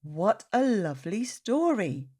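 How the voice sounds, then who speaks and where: A woman's voice: medium pitch, sing-song, slow, warm tone, husky. A woman hosting a children's read-aloud, telling a story expressively and speaking directly to young listeners. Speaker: children's story reader and host.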